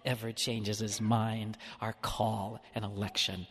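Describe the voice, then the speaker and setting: A man preaching a homily in church, lively and expressive, sometimes slipping into a mock-whining voice to make a point. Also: lowish voice, slow pace. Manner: animated, mock-whining when playing an upset child. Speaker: priest or deacon preaching a homily. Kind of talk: sermon. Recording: church hall reverb.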